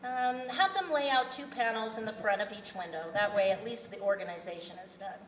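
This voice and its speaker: A woman reading the part of a formal, evasive serial killer in a script table read, halting and businesslike. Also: medium pitch, lightly acted. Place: indoor festival venue table read. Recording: distant echoey room with background noise.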